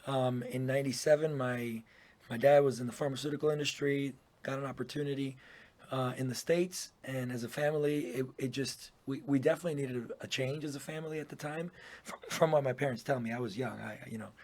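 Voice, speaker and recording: A man's voice: medium pitch, steady tone, brisk, darkish tone. A man in his thirties from Puerto Rico, a business owner chatting easily as an interview guest, laughing often and using plenty of fillers. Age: 30s. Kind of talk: casual interview chat.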